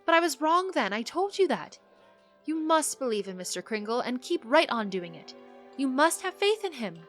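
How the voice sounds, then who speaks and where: A woman playing a caring, earnest mother in a radio drama, with expressive delivery. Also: sits high, normal speed, faint music behind. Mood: earnest, reassuring. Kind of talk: radio play acting.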